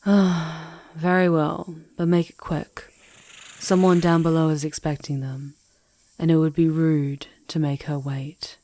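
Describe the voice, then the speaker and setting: Composed, protective demoness guide, acted by a woman, deep for a woman, unhurried, measured and hushed, fantasy ASMR roleplay. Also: little pitch movement, no room sound. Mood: reluctant, stern.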